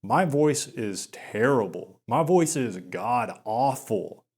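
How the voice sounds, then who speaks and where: A young man with a Southern US accent in a gloomy, self-deprecating monologue, talking slowly and flatly with filler words and stammers. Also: medium pitch, husky. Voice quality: slow, monotone delivery with filler words and stammers. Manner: gloomy, repetitive, resigned. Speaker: video essayist.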